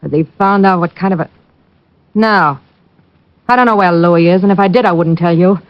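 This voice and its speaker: An actress playing a tough, snappish young nightclub singer in an old radio drama, talking back in street slang. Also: female voice, lowish voice, moderate pace, no room sound, close to an everyday voice. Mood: bitter. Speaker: nightclub headliner. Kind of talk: 1940s-50s radio drama acting. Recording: dull old radio broadcast recording.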